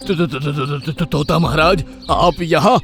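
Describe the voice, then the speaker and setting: A man's voice: on the high side, normal speed, gravelly, over music. Man acting a scheming, sweet-talking cartoon bird. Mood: frightened, stammering. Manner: coaxing, falsely fatherly. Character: scheming, sweet-talking adult male bird, thief and liar. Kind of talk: Hindi children's cartoon story acting.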